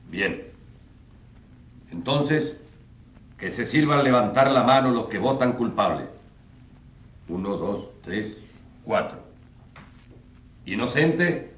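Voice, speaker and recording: An actor playing a formal, declamatory judge who presides over a tribunal in a radio drama. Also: male voice, on the low side, husky. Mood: formal, procedural. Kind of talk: radio drama courtroom speech.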